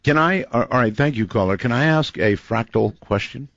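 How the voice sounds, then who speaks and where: A man hosting a late-night call-in radio show, talking in a lively, teasing way with frequent restarts. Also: mid-range voice, normal speed, dry recording, muffled recording. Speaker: radio talk show host. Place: radio studio in Nevada.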